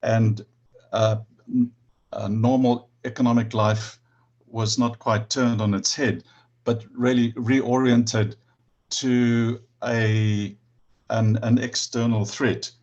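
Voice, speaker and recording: A man with a South African accent speaking on an online panel, halting, with many restarts and stammers. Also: medium pitch, little pitch movement, a little mellow, dull audio.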